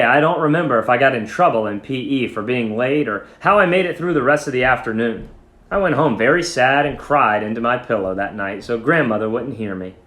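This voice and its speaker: A man giving an expressive dramatic reading of a short story, phrasing it deliberately. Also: medium pitch. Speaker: story reader. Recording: roomy echo.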